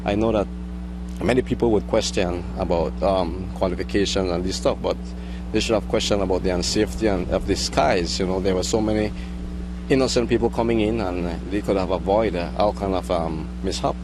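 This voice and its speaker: A middle-aged man with a Belizean accent giving an unscripted news interview, speaking haltingly and rephrasing as he goes. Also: low-pitched, background noise. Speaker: air traffic controller interviewee.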